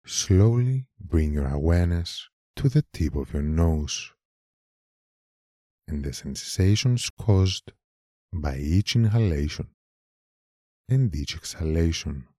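A man leading a guided meditation in a calm, measured manner, leaving long pauses between short phrases. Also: low voice, no room sound, clean studio sound. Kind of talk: slow guided meditation narration.

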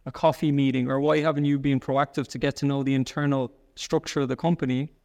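Soft Irish man, earnest and quick. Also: mid-range voice, level tone, long reverb tail. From Ireland. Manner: earnest, quick, with restarts and "like" fillers. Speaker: guest. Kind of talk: conversational interview.

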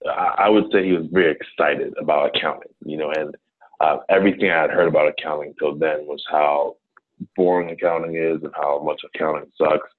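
A deep, husky man in his thirties, from Ghana, talks through a business-consulting interview in a loose, rambling way, restarting sentences and leaning on fillers. His voice comes through a thin, phone-like line that strips the fullness from it. The talk stays casual and conversational. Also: steady tone, a little fast.

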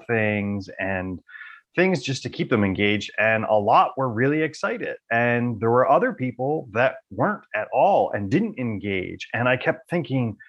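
Lower-pitched man, conversational academic talk. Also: fairly bright tone, highs cut off. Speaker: academic researcher, associate professor. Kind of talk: conversational interview talk about research.

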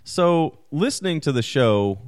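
A man in his thirties, from Maryland, co-hosts a loose podcast chat. His pitch swings up and down as he talks, and he often pokes fun at himself. The sound is dry and close, with no room echo. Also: big pitch swings, measured pace. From Maryland, USA. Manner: laughs easily, self-deprecating. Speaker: podcast co-host. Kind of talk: casual chat.

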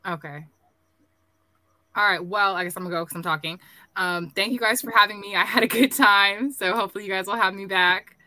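A woman's voice: mid-range voice, fairly quick, pushed and bright, no echo. A woman, a guest on a casual podcast over a video call, upbeat and eager. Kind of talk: casual group chat.

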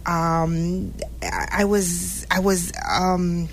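A woman born in Haiti and raised in Montreal, telling her story as a guest in a casual interview, animated and often restarting her sentences. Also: lowish voice, extremely slow, slight background noise. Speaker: podcast guest.

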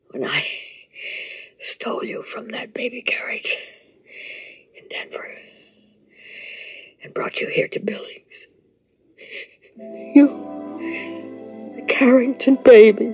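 An actress playing a dying, devout old grandmother making a guilt-ridden confession in a TV drama, weak, halting and solemn. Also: on the high side, animated, whispered, exaggerated voice. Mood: anguished confession. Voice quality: weak, breathy, husky. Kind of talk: television soap drama acting. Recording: soft music behind the dialogue.

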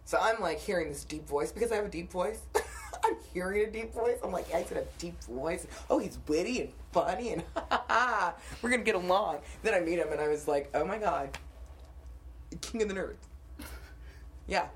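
A young woman co-hosting a podcast, telling dating stories in a casual, animated way and laughing often. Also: on the low side, moderate pace, a little hiss or hum. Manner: animated storytelling with frequent laughter. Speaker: podcast co-host. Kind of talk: casual chat.

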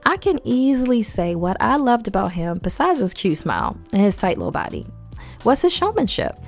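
A woman's voice: medium pitch, wildly swooping pitch, moderate pace, soft background music. A woman hosting a casual podcast, stuffy and losing her voice from a head cold, reading facts with chatty, slangy asides. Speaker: podcast host.

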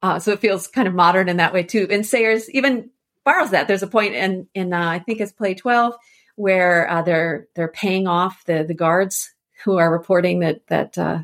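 A woman speaking as an expert guest in a conversational podcast interview, explaining things informally with animated asides. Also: lowish voice, normal speed. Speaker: expert guest, annotated edition editor.

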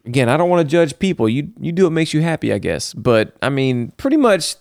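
Animated man recapping a movie. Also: fairly high pitch, brisk, vocal fry, dry recording, studio quality. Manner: animated, laughing, talks through the plot. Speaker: podcast co-host recapping a movie. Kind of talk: casual chat.

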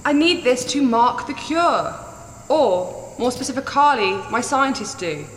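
An actress playing a resolute, commanding warrior daughter with a stern, measured delivery in a sci-fi audio drama. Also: female voice, high-pitched, animated. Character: resolute warrior daughter defying her tyrant father. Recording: echoey hall reverb, faint noise.

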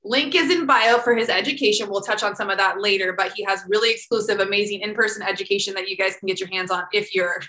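Gushing woman podcast host, bright voice. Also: mid-range voice, fairly even intonation, fast talker, hardly any pauses, echoey room. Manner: gushing, enthusiastic, heavy use of "like" and "literally". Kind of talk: casual interview chat.

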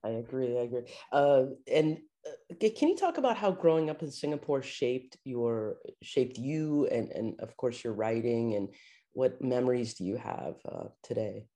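An interview host asks questions with open enthusiasm. The pitch moves a lot, rising and falling as they talk. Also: lively intonation, moderate pace, mellow. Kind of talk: interview conversation.

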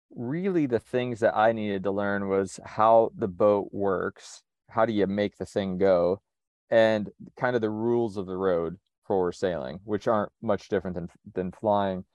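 A man originally from the United States talks in a conversational interview, his voice sitting on the low side. He is reflective and methodical, often circling back and restarting his sentences. The sound is dry and close, with little room around it. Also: darkish tone, no room sound, dull audio. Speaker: sailor and interview guest.

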